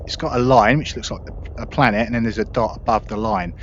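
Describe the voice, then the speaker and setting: A man giving relaxed, explanatory gameplay commentary into a headset, talking himself through the controls step by step. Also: fairly low pitch, quick pace. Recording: dull lo-fi sound under loud engine hum. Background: loud spaceship engine and game ambience.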